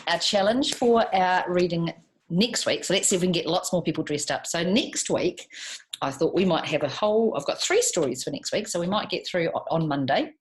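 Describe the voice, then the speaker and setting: A woman hosting a children's storytime, reading aloud animatedly and chatting to young listeners with questions and asides. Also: on the low side. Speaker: children's storytime reader and host.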